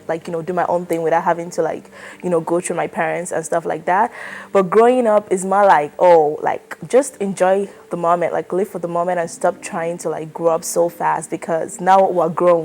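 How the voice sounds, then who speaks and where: A woman in her twenties from Nigeria, answering an oral history interview in a relaxed, chatty way with lots of fillers. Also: on the low side. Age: 20s. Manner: relaxed, chatty, many fillers like "like" and "you know".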